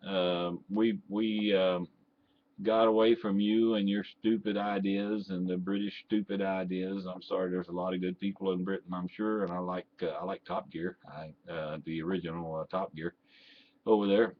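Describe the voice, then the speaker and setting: A man's voice: sits low, flat delivery, normal speed. An older man with a Texan accent, talking bluntly and indignantly straight to camera, full of "uh"s and restarts. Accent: Texan, mixed with Southern. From Texas. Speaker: vlogger and ham radio operator. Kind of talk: opinionated rant to camera. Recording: dull lo-fi home recording with faint noise.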